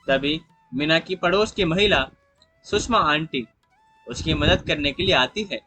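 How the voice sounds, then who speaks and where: A bright, mid-pitched voice narrates a scripted moral tale. Music plays underneath as the narrator tells the story in a plain storytelling style. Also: animated, measured pace.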